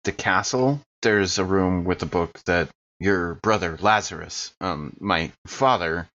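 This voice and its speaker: Male American tabletop player, deep register, casual conversational delivery, slightly muffled recording. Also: measured pace, a bit bright. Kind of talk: casual in-game chat.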